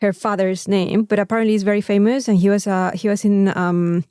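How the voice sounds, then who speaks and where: A woman's voice: mid-range voice, fairly even intonation, a little fast, mellow, smooth, no echo, studio quality. A woman with a Mexican accent, co-hosting a casual podcast chat in an informal, rambling, sweary way. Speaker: podcast co-host.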